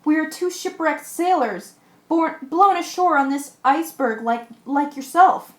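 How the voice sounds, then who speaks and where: Radio play: commanding, practical castaway sailor, played by a woman, earnest amateur read, high register, roomy sound. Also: a little slow. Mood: calm, amazed. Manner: earnest, stumbles over scripted lines. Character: level-headed shipwrecked sailor, commanding and practical. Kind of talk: amateur radio drama acting. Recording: echoey room recording.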